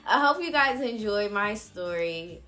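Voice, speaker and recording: A woman's voice: medium pitch, animated, a little slow, sharp tone. A young woman telling a personal story to viewers in a casual, candid, blunt way, with slangy phrasing.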